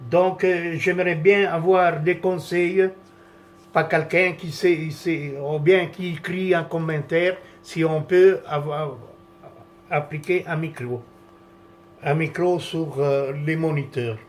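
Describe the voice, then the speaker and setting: A man whose first language is Italian walks through a camera monitor setup at an unhurried, halting pace. His voice sits a little high for a man. He stops and restarts often and searches for words as he goes. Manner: hesitant, halting, many fillers and restarts. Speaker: vlogger reviewing camera gear. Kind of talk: casual product tutorial.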